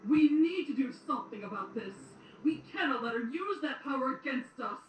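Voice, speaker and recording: A woman playing a cruel, menacing, imperious queen in an audio drama, theatrical and commanding with drawn-out words. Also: sits high, animated. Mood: furious. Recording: roomy, distant playback with some noise.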